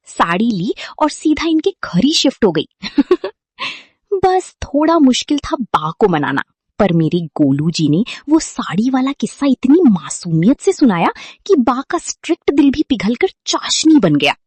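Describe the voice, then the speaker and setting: A woman acts as a chirpy modern young daughter-in-law in a cartoon. Her high voice swings up and down playfully, in a cheeky vlogger style, recorded close and dry. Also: very animated, a little slow, darkish tone, husky, no room sound, full character voice. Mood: playful, chatty. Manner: playful, cheeky, mixes in English slang. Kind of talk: cartoon vlog-style storytelling.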